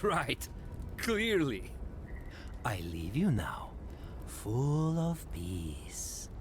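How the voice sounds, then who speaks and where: A man playing a gentle, solemn, cryptic figure in a video game, speaking in measured, halting phrases. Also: mid-range voice, huge pitch swings, noisy background, full character voice. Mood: gentle, resigned. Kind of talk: video game cutscene acting.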